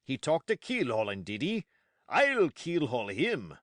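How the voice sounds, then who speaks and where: A man voicing a hearty, cunning one-legged sea cook who talks in a bluff, booming pirate manner. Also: huge pitch swings, a little slow, a bit bright, no echo, studio quality. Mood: excited.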